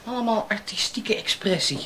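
An actress playing a brusque police detective in a radio drama, with a dry, sarcastic, blunt way of talking. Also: female voice, mid-range voice, animated, moderate pace, a bit bright, natural voice. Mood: irritated, brisk. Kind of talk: naturalistic Dutch radio play acting.

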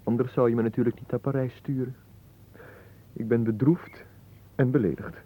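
An actor playing an earnest, slow-witted lovesick suitor in a radio play, hesitant, plaintive and easily hurt. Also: male voice, medium pitch, fairly even intonation, natural voice. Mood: hurt, mournful. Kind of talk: Dutch radio play acting. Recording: muffled, noisy old radio recording.

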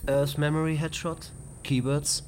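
An actor voicing the calm male voice of a memory-search program in a radio drama, polite and matter-of-fact. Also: on the high side, unhurried, over music, close to an everyday voice.

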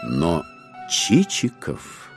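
A man narrating a literary audio drama in an expressive, theatrical and wry manner. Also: rumbling low, drawn-out words, vocal fry, music in the background.

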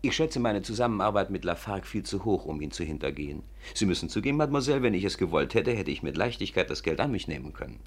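Fast, suave criminal mastermind. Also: male voice, low-pitched, animated, husky. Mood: stern, smooth. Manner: formal, courteous, imperious. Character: suave, commanding male criminal mastermind, politely menacing. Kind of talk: 1950s German radio play acting.

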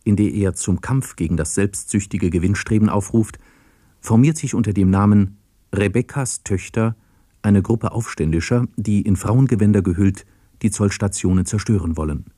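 A man reading a formal scripted story summary in a measured manner. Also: on the low side, warm tone, no room sound, clean studio sound. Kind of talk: scripted narration.

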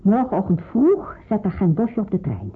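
An actress in a Dutch radio drama playing a caring, devout middle-aged pastor's wife, practical and reassuring, with a husky voice and slight hesitations. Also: female voice, mid-range voice, wildly swooping pitch, moderate pace, exaggerated voice. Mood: briskly explaining. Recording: muffled, phone-like radio play recording.

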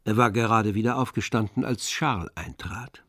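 A man narrating a literary radio drama from a script, measured and composed. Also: fairly low pitch, sharp tone, no room sound, studio quality.

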